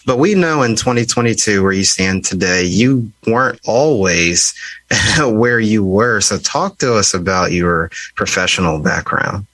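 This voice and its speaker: A man hosting a podcast, introducing and interviewing a guest in a measured way with pauses and repeated words. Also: lowish voice, expressive, a bit bright, no room sound. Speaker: podcast host.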